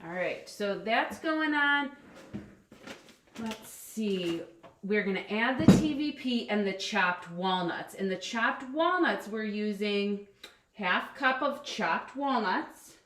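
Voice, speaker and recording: A woman hosting a casual live cooking stream from her home kitchen, chatty and upbeat, with a loud teacher voice, picked up by a camera across the room. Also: mid-range voice, animated, takes it slowly. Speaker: cooking livestream host and coach. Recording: echoey kitchen with faint noise.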